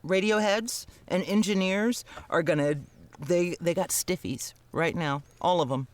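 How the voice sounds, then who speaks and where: A woman's voice: lowish voice, unhurried. Woman, podcast co-host, casual chat, blunt, jokey, sweary.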